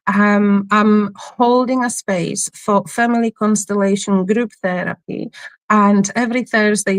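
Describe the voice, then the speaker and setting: A woman's voice: medium pitch, no echo. A woman with a Polish accent tinged with Scottish, a spiritual healer guest speaking hesitantly with many ums. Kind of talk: interview guest.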